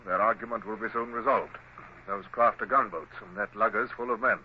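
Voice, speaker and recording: An actor with a British accent playing a cool, commanding Royal Navy captain, measured and formal, in a vintage radio drama style. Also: male voice, fairly low pitch, lightly acted. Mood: cool, watchful. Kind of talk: vintage radio drama acting, first-person narration and orders. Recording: dull, noisy old radio drama recording.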